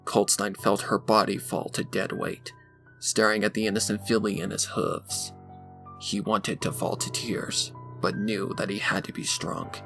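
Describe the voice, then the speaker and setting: Male narrator for dramatic scripted fiction, lowish with heavy fry, music underneath. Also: level tone, measured pace, fairly bright tone. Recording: music behind the voice.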